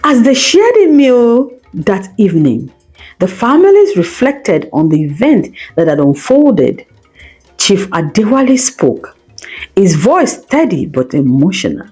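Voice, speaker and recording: A woman's voice: mid-range voice, wildly swooping pitch, measured pace, a little mellow, breathy edge, poor audio. A woman narrating a scripted folktale as a storyteller, with music playing behind her. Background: background music.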